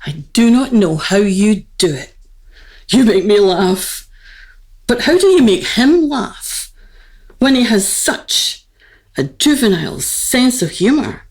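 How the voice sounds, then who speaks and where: Woman as a slow-spoken, poised young queen. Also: medium pitch, sing-song, a little mellow. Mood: playful, curious. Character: young neglected queen, gracious, curious, quietly candid. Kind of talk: theatrical radio play dialogue, courtly.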